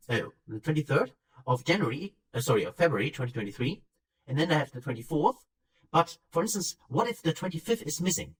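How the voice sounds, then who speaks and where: A man whose first language is German, talking through a software tutorial step by step, with fillers and quick self-corrections. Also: medium pitch, far from the mic, rough recording. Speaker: software instructor. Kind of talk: screen-recorded software tutorial.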